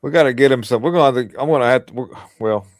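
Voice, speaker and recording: A man's voice: medium pitch, rapid-fire, no echo. A man hosting a casual political livestream, talking bluntly and crudely with lots of emphasis. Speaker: livestream host.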